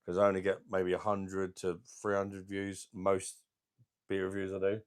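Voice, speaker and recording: A middle-aged man with a deep voice and a sore throat, chatting casually as he hosts a beer channel livestream and reads out viewer comments in a rambling, laid-back way. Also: monotone, moderate pace, smooth. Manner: rambling, laid-back, chuckling between remarks. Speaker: beer review channel host.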